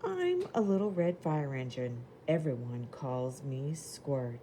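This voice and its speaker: A woman voicing a proud, eager little red fire engine in a children's storybook, with simple, expressive delivery. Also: extremely low pitch, slow, a little hiss or hum, heavily acted. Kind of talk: children's storybook character narration.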